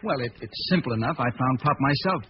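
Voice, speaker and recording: A man plays an earnest young tunnel boss in a vintage radio drama. He speaks quickly and sounds troubled. The sound is muffled and noisy. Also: mid-range voice, expressive, natural voice. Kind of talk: 1940s radio acting.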